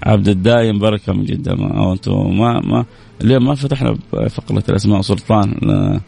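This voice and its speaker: A man's voice: on the low side, steady tone, fast talker, gravelly, dark tone, slightly rough. A man hosting a radio show, chatting casually and joking with his listeners as he signs off. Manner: chatty, joking, laughs often. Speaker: radio host.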